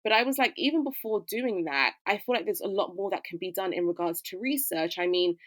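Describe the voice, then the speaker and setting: A woman's voice: mid-range voice, fairly quick, fairly bright tone, dry recording. A woman, a fashion educator, answering interview questions in a conversational, reflective way with frequent fillers. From United Kingdom.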